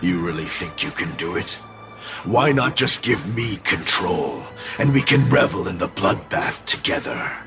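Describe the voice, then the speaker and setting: An actor playing a sinister, mocking spirit companion in a video game, gravelly, theatrical and menacing, with a taste for blood. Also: male voice, low-pitched, lively intonation, a little slow, music in the background, full character voice. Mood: mocking, tempting. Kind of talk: dramatic video game voice acting.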